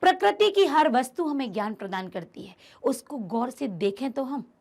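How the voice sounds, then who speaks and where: High-voiced woman teacher with vocal fry. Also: expressive, normal speed, a little mellow. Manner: emphatic, repeats key phrases, addresses the students directly. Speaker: Hindi teacher. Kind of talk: explaining a textbook chapter to students.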